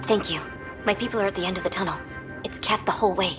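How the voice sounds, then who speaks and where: A woman's voice: medium pitch, fast talker, music in the background, natural voice. A woman playing an earnest, dignified alien expedition leader in a video game, formal and composed. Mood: grateful. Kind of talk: video game cutscene acting.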